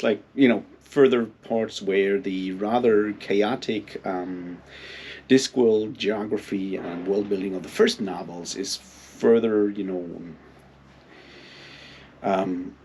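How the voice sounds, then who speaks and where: A man giving a casual, unscripted book commentary in a halting, rambling way, with plenty of filler phrases. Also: on the low side, creaky, a little mellow, slight background noise. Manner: halting, hesitant, rambling delivery with frequent hedging filler phrases. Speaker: book reviewer and readalong host.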